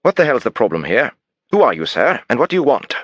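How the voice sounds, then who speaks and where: A man's voice: medium pitch, very animated, fast talker, dry recording, lo-fi sound, voice pushed up. A man doing a clipped, outraged English diplomat. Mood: indignant. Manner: indignant, shouting demands. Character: haughty British diplomat man, arrogant and outraged.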